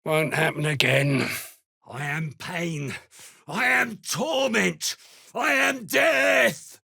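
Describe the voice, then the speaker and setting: Menacing video game duergar, gravelly rasp, swooping pitch, curt, contemptuous, fanatical slave hunter, close dry sound. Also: male voice, lively intonation, takes it slowly, bright, forceful tone, dry recording, exaggerated voice. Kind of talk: video game character voice lines.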